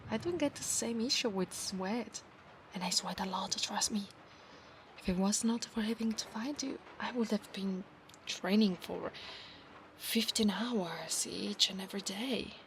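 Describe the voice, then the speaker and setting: A proud, battle-hungry centaur knight played by a woman speaks with an Italian accent straight to the listener. Her voice sits at a middle pitch, soft and formal, with breathy whispers in an ASMR roleplay. Noticeable ambient noise runs behind her. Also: unhurried, lightly acted, low-quality recording. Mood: conversational. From Italy. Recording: clear background noise, ambience.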